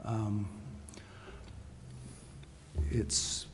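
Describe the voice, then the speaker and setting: A man speaking as a city official at a public meeting, explaining in hesitant, deliberate phrases. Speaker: city administrator. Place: council chambers. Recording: reverberant hall with faint noise.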